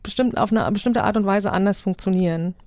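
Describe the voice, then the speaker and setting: A woman's voice: medium pitch, a little fast, darkish tone, dry recording. Woman explaining casually, dry close sound. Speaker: project creator, guest.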